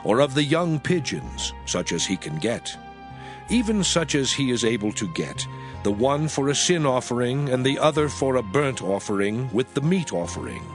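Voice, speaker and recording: A man narrating scripture in a measured, solemn, scripted dramatic reading. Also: mid-range voice, sing-song. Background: music.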